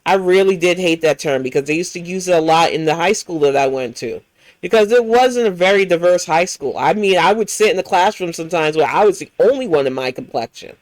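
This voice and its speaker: A woman's voice: fairly low pitch, expressive, brisk, a little mellow, dry recording, poor audio. An American woman hosting a livestream, reacting to clips in a blunt, profane, heated rant. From United States. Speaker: livestream host.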